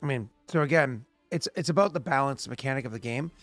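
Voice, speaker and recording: A man's voice: mid-range voice, quick pace, a bit bright, dry recording, soft background music. A man in his late thirties, the game master, chatting and explaining rules at length with many restarts. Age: late 30s. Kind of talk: casual chat and game narration.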